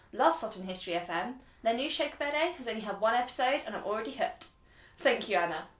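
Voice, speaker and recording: Roomy, woman as upbeat radio host, gushing, higher-pitched, faint music. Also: a bit bright. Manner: gushing, enthusiastic. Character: enthusiastic female radio presenter. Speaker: radio presenter.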